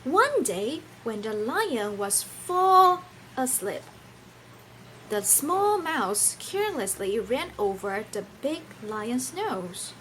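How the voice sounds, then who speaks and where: A woman's voice: a little high, sing-song, takes it slowly, a bit bright, a little hiss or hum, rough recording. A woman who is a non-native English speaker reads a picture book to children theatrically, teacherly, asking and answering her own questions. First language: not English. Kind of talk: animated children's read-aloud with questions to listeners.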